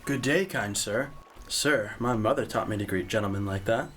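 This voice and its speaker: An actor playing a naive, impulsive young knight in an audio drama, blunt and guileless. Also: male voice, lowish voice, normal speed, fairly bright tone, slight background noise, lightly acted. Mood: eager, earnest. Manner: blunt, hot-headed, guileless.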